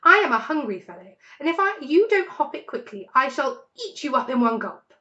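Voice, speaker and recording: A suave adult fox played by a woman reader leads his family through a storybook. He speaks smoothly and with command, in a fairly high voice. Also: big pitch swings, a little fast, pushed and bright, muffled recording, exaggerated voice. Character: suave, confident adult male fox, leader and father.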